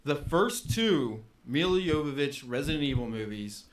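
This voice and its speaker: A man's voice: a little high, animated, normal speed, roomy sound. A man co-hosting a podcast, chatting casually about pop culture in an emphatic, slangy, opinionated way. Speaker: podcast co-host.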